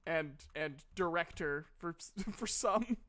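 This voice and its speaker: A man's voice: on the high side, slow pace, a bit bright. A man acting as game master, casually narrating and bantering with players in a jokey, self-deprecating way.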